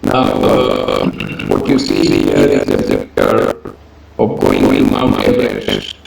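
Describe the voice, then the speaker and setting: Gravelly man lecturing in an echoey room. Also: mid-range voice. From Gujarat, India. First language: Gujarati. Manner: measured, listing points one by one. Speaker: lecturer on education policy. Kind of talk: presentation lecture. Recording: echoey room with loud background hiss.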